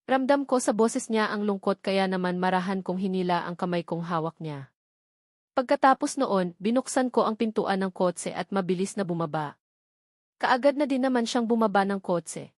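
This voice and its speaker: A woman's voice: mid-range voice, animated, moderate pace, dry recording, studio quality. A woman narrating a novel in the first person, reading from a script in a storytelling style. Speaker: audiobook narrator.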